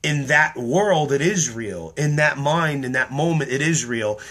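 A man in his thirties talking to his camera indoors, tired and slightly hoarse, explaining his act in an earnest, rambling way full of ums. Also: mid-range voice, expressive, measured pace, fairly bright tone. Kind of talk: casual talk to camera. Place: small room indoors. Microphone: webcam or phone at close range.

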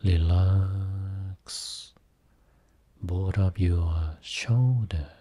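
A man guiding a Buddhist meditation as a teacher, speaking soothingly in short phrases with long pauses between them. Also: low voice, dark tone. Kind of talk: guided meditation.

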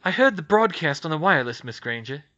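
Dude doing a smug middle-aged lady reporter, pushy, bright and bouncy. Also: on the high side, sing-song, quick pace, lo-fi sound, voice pushed up. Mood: cool. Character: smug, scheming middle-aged woman gossip journalist.

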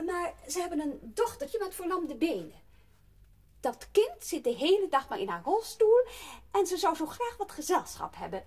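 A woman's voice: very high-pitched, big pitch swings, moderate pace, full character voice. An actress playing a haughty, pushy city lady in a radio play, chattering and insistent. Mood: affected, eager. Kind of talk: Dutch radio play acting.

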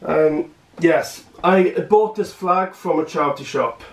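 Young man, slow halting delivery, higher voice, echoey room, camera far off. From Wales. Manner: halting, many fillers and restarts, earnest. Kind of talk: casual vlog speech to camera. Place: small room at home, indoors. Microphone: camera across the room.

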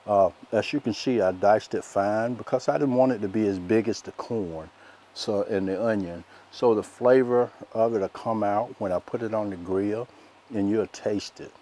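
A soft-spoken middle-aged man, demonstrating a recipe outdoors as a cooking show host in a relaxed, folksy way. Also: fairly low pitch, normal speed. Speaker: chef host. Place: outdoors by a lake in woods.

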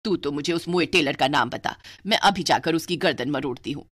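Casting: older Indian mother-in-law for cartoon family story, low voice, long-suffering and proper female narrator doubles the role. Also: a little fast, a bit bright, no echo. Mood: exasperated, scolding. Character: exasperated older Indian mother-in-law, scolding yet submissive to elders. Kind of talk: animated moral story dialogue.

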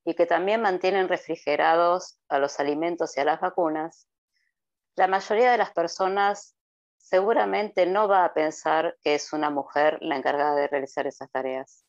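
A woman's voice: fairly low pitch, steady tone, moderate pace, no echo, dull audio. A middle-aged woman, explaining on a podcast over a video call, with frequent hesitant fillers. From Argentina. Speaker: guest presenter. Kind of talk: conversational podcast talk.

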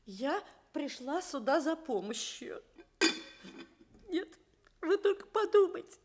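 An actress playing a tearful, aggrieved old sooty cooking pot in a Soviet children's radio play, with a plaintive, lamenting delivery. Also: female voice, high voice, sing-song, takes it slowly, very noisy, heavily acted.

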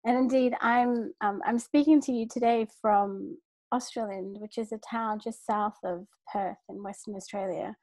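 A woman from Sri Lanka giving a measured, formal academic lecture from home over a video call, with brief fillers and restarts. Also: medium pitch, fairly even intonation, a little mellow, no echo, low-quality recording. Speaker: keynote lecturer, art historian. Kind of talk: academic lecture read from a script. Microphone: computer mic on a video call.

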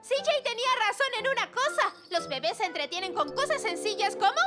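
A woman voicing a brainy, outspoken, excitable young girl sidekick in a cartoon, speaking emphatically. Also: very high voice, brisk. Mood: matter-of-fact. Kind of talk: animated cartoon dub acting. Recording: loud music behind.

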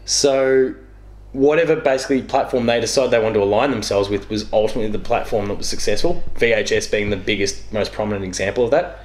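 Low-pitched young man, roomy sound. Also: fast. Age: young adult. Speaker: interview guest. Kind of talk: casual chat, gaming storytelling. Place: small living room, on a couch. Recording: echoey living room.

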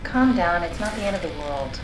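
A woman acting a brisk, matter-of-fact scientist living as a robot in a video game. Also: on the low side, lively intonation, echoey room, noisy background, natural voice. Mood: calm.

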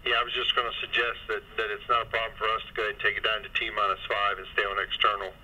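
A man's voice: on the low side, level tone, very fast, sharp tone. A man working as a launch controller, giving procedural talk over a radio transmission. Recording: muffled, distant launch control radio loop.

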